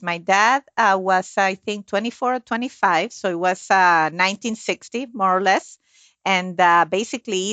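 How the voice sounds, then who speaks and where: Low-voiced Venezuelan-born woman, dry sound. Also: a bit bright, no echo. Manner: friendly, many fillers and restarts. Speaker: CEO guest. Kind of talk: conversational interview answers.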